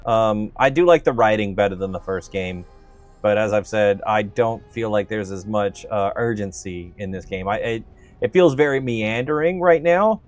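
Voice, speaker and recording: A man with a lowish voice comments casually on a game he is playing. He rambles and chats with many fillers, and faint game music sits under his voice. He grew up in the Southern United States but his accent has faded. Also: normal speed. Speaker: streamer.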